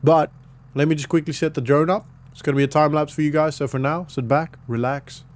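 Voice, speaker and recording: A man with a croaky voice giving relaxed, rambling commentary on a farming game, with many fillers. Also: fairly high pitch, a little fast. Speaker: gaming YouTuber. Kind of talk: casual gameplay commentary.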